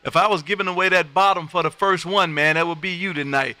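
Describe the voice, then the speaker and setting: A man's voice: high voice, steady tone, fast, sharp tone, dry recording. A man from Texas hosting an amateur radio livestream, chatting and calling out in a folksy, effusive way and repeating his phrases. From United States, Texas. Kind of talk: casual ham radio chat and call-outs.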